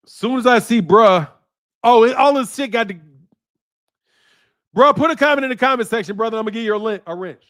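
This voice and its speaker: A man with a high, lively voice holding forth on a casual boxing livestream. He talks in loose street slang and breaks now and then into loud outbursts while reading out chat messages. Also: brisk, pauses often. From Chicago area. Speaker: boxing channel host. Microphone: streaming mic.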